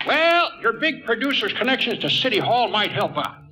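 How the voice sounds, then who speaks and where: Old vaudeville comic, very high and sharp, sly, chatty, theatrical, 1940s radio play. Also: male voice, sing-song, moderate pace, heavily acted. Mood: sly, chummy. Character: wily, chatty old male vaudeville comedian. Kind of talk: 1940s radio comedy acting. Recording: live radio play, faint music.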